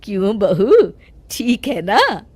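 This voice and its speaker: A woman's voice: mid-range voice, huge pitch swings, takes it slowly, a little mellow, breathy edge, no echo, full character voice. Woman playing a bossy middle-aged mother-in-law. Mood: coaxing. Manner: commanding, scolding.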